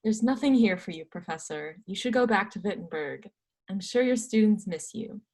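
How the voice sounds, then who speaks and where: A woman playing a guarded old male astronomer and churchman in a stage play reading, terse, wary and dismissive, with a husky voice. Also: medium pitch, lively intonation, moderate pace, darkish tone, close to an everyday voice. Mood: weary, guarded. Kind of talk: readers theatre performance of a history play.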